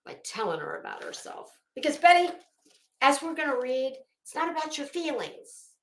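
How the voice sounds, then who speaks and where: A woman giving informal, opinionated commentary in an emphatic, scornful manner, swearing freely and pausing dramatically. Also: high-pitched, very animated, fairly bright tone, echoey room. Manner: emphatic, scornful, profane, dramatic pauses and repetition. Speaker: online commentator.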